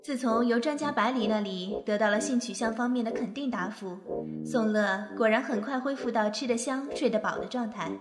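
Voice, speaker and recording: A woman narrates an audio drama in a scripted storytelling style, her voice fairly high. It is bathed in long reverb, with clear music behind it. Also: fairly quick.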